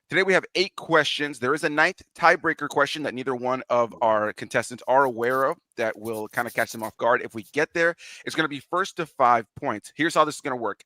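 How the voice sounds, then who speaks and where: A man hosting a casual online panel show, sniffly from allergies, talking in a relaxed, chatty way with frequent restarts. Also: medium pitch, no room sound. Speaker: host.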